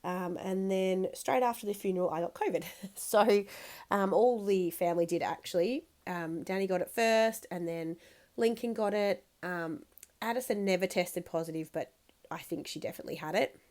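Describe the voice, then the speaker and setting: Croaky woman in her 30s rambling over a craft video, lots of ums, mid pitch. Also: moderate pace, darkish tone. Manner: chatty, rambling, many ums. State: croaky from a cold. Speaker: scrapbooking video host. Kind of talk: casual voice-over while crafting.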